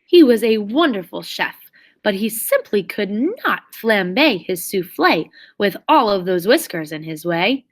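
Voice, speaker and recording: A woman reading a children's story aloud expressively, with theatrical, drawn-out emphasis on key words. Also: mid-range voice, very animated. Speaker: storybook reader.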